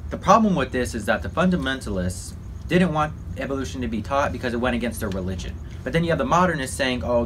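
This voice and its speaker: A man giving an informal, halting explainer as a mock news report, in simple slangy terms. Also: mid-range voice, slight background noise, rough recording. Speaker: presenter in a student history project.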